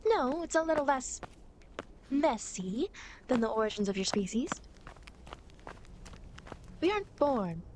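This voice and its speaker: Girl voice actor doing teasing demon lady asmr roleplay, high n bouncy, smug, bit of background noise. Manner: teasing, smug, mock-indignant. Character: playful, teasing, vain female demon guide of the afterlife.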